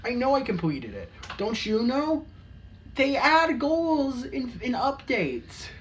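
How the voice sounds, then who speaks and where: A woman's voice: high voice, sing-song, takes it slowly, far from the mic, a little hiss or hum. A woman hosting a casual reaction commentary in a mocking tone, often stumbling and repeating words. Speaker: commentary host.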